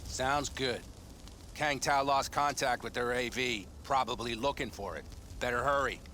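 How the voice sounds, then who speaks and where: A man's voice: medium pitch, measured pace, pushed and bright, slight background noise. An actor playing a terse, practical male nomad in a game cutscene. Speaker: game character.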